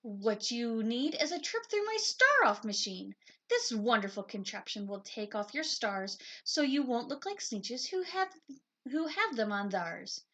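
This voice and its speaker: A woman voicing a sly, fast-talking male salesman from a picture book in a showy, persuasive way. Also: a little high, sing-song, a bit bright, heavily acted.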